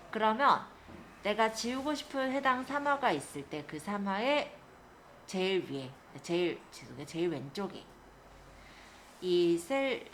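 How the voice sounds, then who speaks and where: A woman instructor giving a polite, step-by-step spreadsheet software tutorial, guiding listeners through each action. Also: mid-range voice, a little hiss or hum. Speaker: computer skills instructor.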